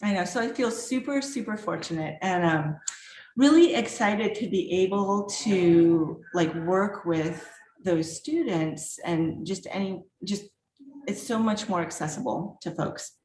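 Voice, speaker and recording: A woman's voice: fairly low pitch, measured pace, darkish tone, echoey room, slight background noise, rough recording. A middle-aged woman from the former Czechoslovakia, an art professor, introducing herself in casual, upbeat chat and laughing often. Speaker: guest artist and art professor. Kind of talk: casual self-introduction at a virtual reception.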